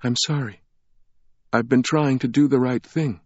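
A distraught, tearful young woman pleads in a soft, lifted voice, as a male narrator puts her on. It is a storybook reading, close and dry, with a slightly dull, muffled sound. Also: normal speed, dry recording, close to an everyday voice. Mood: pleading. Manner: soft, pleading, raised pitch.

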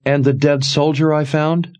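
A man's voice: on the high side, little pitch movement, unhurried, darkish tone, pitched above the performer's own voice, close to an everyday voice. A man voicing a frightened, accusing young woman in a fantasy audiobook, raised and strained. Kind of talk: audiobook character reading.

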